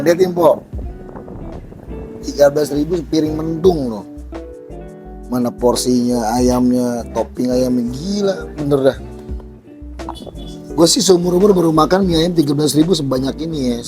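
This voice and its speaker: A hoarse man, excitedly vlogging about street food outdoors at a stall and calling his viewers "guys". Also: fairly high pitch, normal speed, long pauses, over music. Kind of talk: casual street-food vlog.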